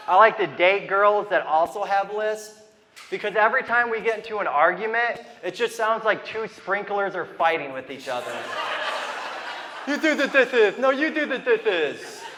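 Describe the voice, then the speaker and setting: A man's voice: sits high, bright, forceful tone, big-room sound, a little hiss or hum. A man in his thirties from Ohio with a lisp, doing a stand-up comedy set in a loose, rambling way with asides to the crowd. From Toledo, Ohio, US. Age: 30s. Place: comedy venue with a live audience.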